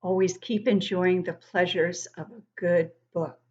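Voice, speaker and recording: A woman reading a picture book aloud to young children, expressively, pausing to explain things and ask the listeners questions. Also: on the low side, steady tone, a little mellow, highs cut off. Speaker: children's book club host and reader.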